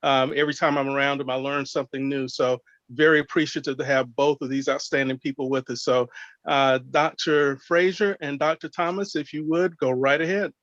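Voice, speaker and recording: A man giving an informal introduction as event host, halting and measured, with dry humor, on a video call. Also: medium pitch, fairly even intonation, a bit bright, no echo, muffled recording.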